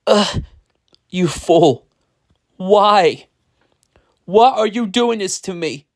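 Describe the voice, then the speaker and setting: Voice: mid-range voice, moderate pace, a little mellow, natural voice. A storyteller voicing a bitter, menacing bully villain in a theatrical manner. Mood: weakened, wounded.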